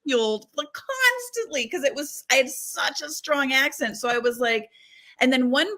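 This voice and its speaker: A bright, forceful woman with a slightly high voice tells stories as a talk-show guest. She is from Massachusetts but has a neutral accent. She is animated and laughs often. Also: normal speed. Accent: none, lost her Boston-area accent. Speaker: guest, psychic medium. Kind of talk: casual interview chat.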